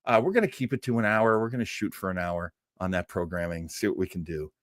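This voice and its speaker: A man hosting a live show, chatting casually with viewers in a halting, self-deprecating way and laughing easily. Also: low-pitched, no echo, clean studio sound. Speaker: host of a live old-time radio show stream. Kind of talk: casual live chat with viewers, rambling sign-off.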